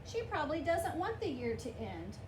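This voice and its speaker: High-voiced woman reading a storybook, roomy sound. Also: sing-song, moderate pace. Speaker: storybook reader. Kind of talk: children's picture book read-aloud. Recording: roomy, distant mic, clear background noise.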